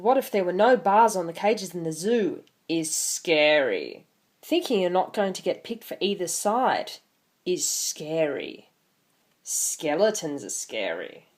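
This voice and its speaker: A woman in her twenties hosting an online video, talking casually and reading a picture book aloud with theatrical emphasis. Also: fairly low pitch. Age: 20s.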